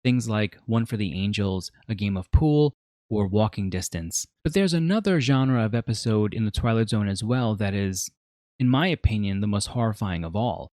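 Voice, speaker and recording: A man's voice: on the low side, expressive, normal speed, a little mellow, no echo, clean studio sound. A man in his forties narrating a scripted video review as its host, explaining in an earnest, emphatic way. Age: 40s.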